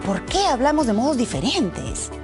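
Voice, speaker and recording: Lively Peruvian woman teaching on TV. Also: on the high side, normal speed, loud music behind the voice. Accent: Peruvian Spanish. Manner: animated, asks the viewers questions. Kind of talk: televised lesson presentation.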